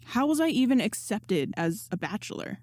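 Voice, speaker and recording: A woman voicing an embarrassed, grumbling young man in a story, deadpan and sarcastic. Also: mid-range voice, moderate pace, no room sound, studio quality. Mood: wary.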